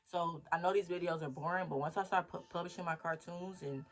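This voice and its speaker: A woman's voice: lowish voice, steady tone, a little fast. A woman in her twenties talking through her plans in a casual, rambling diary vlog, thinking aloud and cheering herself on. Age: 20s. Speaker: vlogger.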